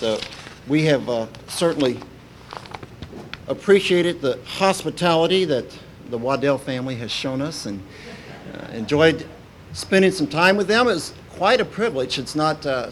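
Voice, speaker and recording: A man raised in Kentucky speaks before a congregation, his voice sitting a little above the usual male pitch. He talks in an informal, halting way, pausing on "uh" and restarting his phrases. A faint hiss lies under the recording. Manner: halting, many "uh" fillers and restarts. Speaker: visiting minister. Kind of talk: informal sermon opening.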